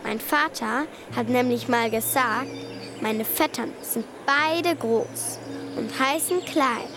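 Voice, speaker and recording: A woman's voice: fairly high pitch, slow pace, crowd noise. A female performer playing an anxious but plucky nine-year-old girl, earnest and hesitant, in a radio play.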